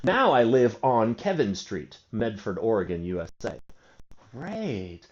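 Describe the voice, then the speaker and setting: Man in his forties, English teacher, lively questioning delivery, lots of pitch movement, dull lo-fi recording. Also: a little slow. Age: 40s. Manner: lively, asks and answers his own questions, draws out key words. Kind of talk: English grammar lesson.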